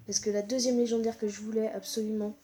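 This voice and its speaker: A woman's voice: fairly high pitch, flat delivery, fast talker, warm tone, a little hiss or hum. Female gaming host, stuffed up with a cold, exclamatory, commentating a match as it happens. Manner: exclamatory, repeats short interjections. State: congested with a cold. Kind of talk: live excited gameplay commentary.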